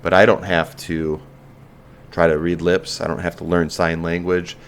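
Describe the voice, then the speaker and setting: A man with a very low voice hosts a podcast and chats in a relaxed, frank way, dropping the odd curse. A faint background hiss runs under his voice. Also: normal speed. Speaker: podcast host. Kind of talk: casual interview chat.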